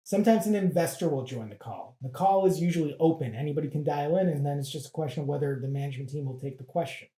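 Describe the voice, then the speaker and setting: A presenter giving an educational explanation in a measured, plain-spoken way, like a guide for learners. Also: mid-range voice, dark tone, echoey room.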